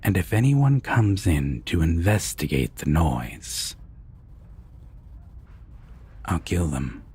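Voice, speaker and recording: A man playing a sinister, possessive vampire villain in a roleplay audio drama, taunting and deliberate with a breathy, gravelly half-whisper. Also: low voice, very animated, a little mellow, exaggerated voice. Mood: threatening. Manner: slow, deliberate, taunting, with long pauses.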